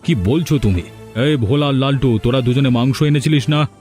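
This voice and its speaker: A man's voice: mid-range voice, moderate pace, darkish tone, faint music behind, lightly acted. Grumpy, worried village shopkeeper, acted by a man. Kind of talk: cartoon dialogue acting.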